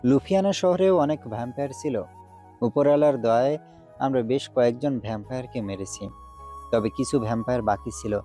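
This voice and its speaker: A man's voice: mid-range voice, brisk, lightly acted. A man voicing a grave demon hunter. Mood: earnest. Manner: earnest, solemn and steady. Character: resolute male demon hunter, grave and duty-bound. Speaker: voice actor. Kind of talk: Bengali audio drama acting. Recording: faint background music.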